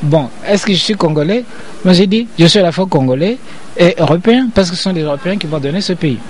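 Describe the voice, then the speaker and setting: A man's voice: high-pitched, expressive, fast. Emphatic lecturing older man. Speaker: interview guest, professor. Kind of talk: interview.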